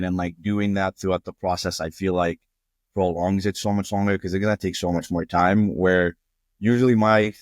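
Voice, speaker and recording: A man's voice: deep voice, dry recording, clean studio sound. A young man from Jordan, a music producer guest, earnestly walking through his process in a casual interview.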